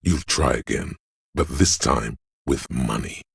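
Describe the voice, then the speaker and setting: A man playing a slick, money-obsessed inner voice in a video game, a cajoling, flamboyant hype man with streetwise swagger and a gravelly delivery. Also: unhurried, dry recording, heavily acted. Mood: hustling hype. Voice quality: gravelly, very deep. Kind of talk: theatrical video game character monologue.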